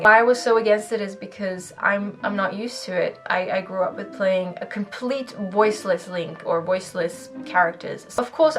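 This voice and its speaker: Casual woman YouTuber, rambling and candid, mid pitch, music faintly behind. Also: a bit bright. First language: Swedish. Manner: rambling, candid, laughs between phrases. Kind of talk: casual vlog monologue.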